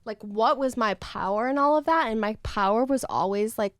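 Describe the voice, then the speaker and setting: A thirty-year-old woman telling personal stories in casual conversation as a podcast guest, animated, acting out quotes and swearing freely. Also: fairly high pitch, moderate pace, no echo, studio quality. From United States. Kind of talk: casual chat, personal storytelling.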